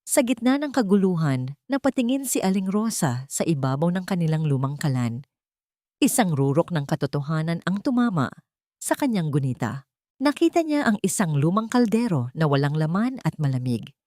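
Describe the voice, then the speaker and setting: A woman's voice: fairly low pitch, big pitch swings, normal speed, warm tone, dry recording, studio quality. A woman with a Filipino accent reading a scripted dramatic story as a storyteller. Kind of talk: scripted dramatic audio story narration in Tagalog.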